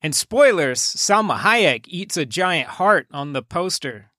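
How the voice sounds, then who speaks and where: Man, slightly high voice, podcast co-host, deadpan, offhand, casual chat, dry close sound. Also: slow, dry recording. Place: recorded remotely over a voice chat app.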